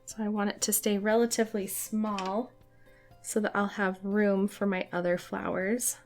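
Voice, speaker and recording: A young woman with a hoarse voice from a cold, chatting casually as she teaches a watercolor painting tutorial. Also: medium pitch, little pitch movement, moderate pace, faint music behind. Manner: friendly, chatty, light laughs.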